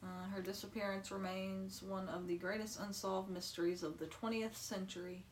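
A woman in her early thirties, chatting casually as a podcast co-host, playful and quick to laugh. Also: medium pitch, level tone. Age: 30s. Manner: laughs easily, reads trivia aloud, playful. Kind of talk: casual chat. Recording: distant, faint hiss.

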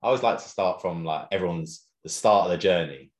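A man's voice: low-pitched, fairly quick. A man hosting a casual interview, rambling through his questions and laughing easily. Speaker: podcast host. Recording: roomy echo.

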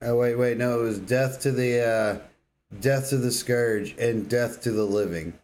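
A man's voice: medium pitch, unhurried, a little mellow. A man co-hosting a podcast in casual chat, laconic, putting in brief remarks. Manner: laconic, brief agreeing interjections. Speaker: podcast co-host.

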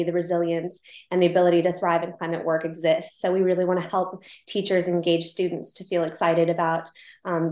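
A woman's voice: lowish voice, a little mellow. Thoughtful American woman, roomy sound. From United States. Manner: thoughtful, hesitant, many ums. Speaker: guest, founder of climate psychology group. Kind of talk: podcast interview.

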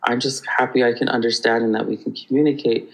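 A man from Hawaii, a podcast guest telling stories in an animated, rambling way with many restarts. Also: mid-range voice, quick pace, poor audio. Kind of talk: conversational storytelling.

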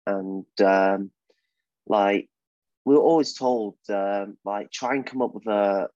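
A young British man answers questions in a casual podcast, hesitant and full of "um"s and "like"s. His voice sits a little low and is recorded dry and close, with a dull, lo-fi tone. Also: slow pace, a little mellow, no echo. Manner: hesitant, many fillers and restarts. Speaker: wrestler guest.

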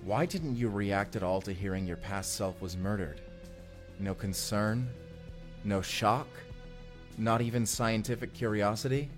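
An actor playing a weary, suspicious young time traveler in an earnest, intense sci-fi audio drama. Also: male voice, on the low side, a little slow, over music, close to an everyday voice. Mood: suspicious, confrontational. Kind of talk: immersive sci-fi audio roleplay acting.

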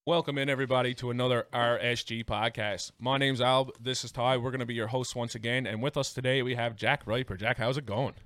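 A man's voice: medium pitch, fairly even intonation, brisk, few pauses, a bit bright. A man hosting a podcast in a casual, conversational way. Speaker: podcast host. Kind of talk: casual interview chat.

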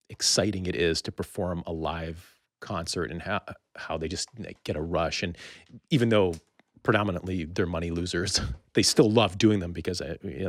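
A man hosting a casual interview, feeling his way through long, halting questions. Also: deep voice, dry recording, clean studio sound. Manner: exploratory, halting, rambling questions. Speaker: podcast interviewer.

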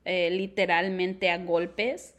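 A woman's voice: fairly low pitch, level tone, takes it slowly, fairly bright tone. A young woman co-hosting a podcast, chatting casually and mixing in English words. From Colombia. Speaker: podcast co-host. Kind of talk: casual chat.

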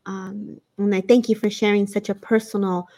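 A woman hosting a conversational interview, speaking in a gentle, openly appreciative way. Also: mid-range voice, little pitch movement, moderate pace, creaky. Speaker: host.

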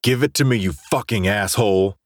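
A man's voice: on the low side, fairly quick, dry recording, studio quality, voice pushed up, lightly acted. A man voicing a drunk, jealous, self-loathing young student athlete, confrontational and swearing, in an audiobook drama. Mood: angry demanding. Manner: profane, aggressive, confrontational.

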